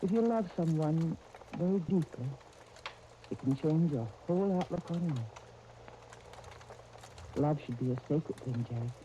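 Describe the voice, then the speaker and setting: An actress playing a gentle, earnest guardian of young twins in a 1930s radio soap opera, speaking tenderly. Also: female voice, low-pitched, expressive, measured pace, pauses often. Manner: tender, earnest, uses endearments. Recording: muffled, noisy 1939 radio disc recording.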